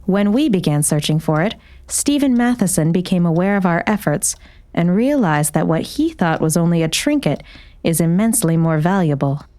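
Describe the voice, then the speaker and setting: Woman as a smooth, coolly composed lady doctor. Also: on the low side, animated, dark tone, close to an everyday voice. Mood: measured, guarded. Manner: formal, measured, controlled. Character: cool, composed woman doctor with uncertain loyalties. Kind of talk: audiobook character acting.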